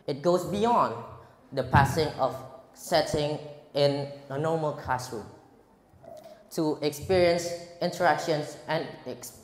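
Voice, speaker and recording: A teenage boy from Indonesia with an Indonesian accent, giving a prepared speech at a school contest, earnest and halting, often restarting words. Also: mid-range voice. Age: teens. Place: large echoey hall. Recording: roomy hall with long reverb.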